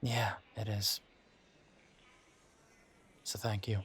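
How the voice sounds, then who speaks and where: Wounded, sardonic werewolf male actor for intimate audio roleplay: lowish pitch, unhurried and hesitant, confiding. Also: level tone, fairly bright tone, slight background noise, heavily acted. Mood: tender, grudging.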